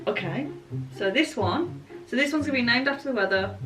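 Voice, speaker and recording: Giggly higher-voiced woman in an echoey room. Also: animated, brisk, distant mic. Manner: giggly, often breaking into laughter. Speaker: vlogger reading generated stories. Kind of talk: playful dramatic reading and banter. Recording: echoey room with music behind.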